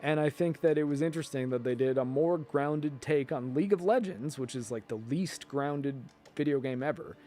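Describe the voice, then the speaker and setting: A man hosting a video, giving casual, rambling film and TV commentary in an informal, emphatic way, often halting mid-thought. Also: a little high, dark tone, soft background music. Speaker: video host.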